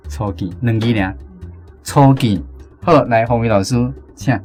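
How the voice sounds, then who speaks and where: A man's voice: medium pitch, a little slow, darkish tone. A man with a Taiwanese accent moderates a language quiz. His voice sits in a middle register and moves along conversationally, with a light music bed behind him. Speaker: host of a radio language quiz segment.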